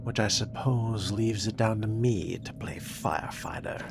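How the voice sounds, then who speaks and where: A man with a husky, breathy voice giving dry, sardonic in-character commentary as he plays a role-playing game. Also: on the low side, unhurried, music in the background. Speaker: player narrating a role-playing game playthrough.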